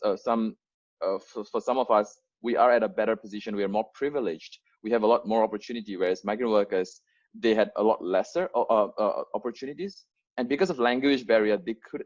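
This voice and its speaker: Earnest young man on a muffled call. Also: fairly low pitch. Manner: earnest, frequent fillers and restarts. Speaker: founder giving an onboarding talk. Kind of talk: unscripted presentation to volunteers.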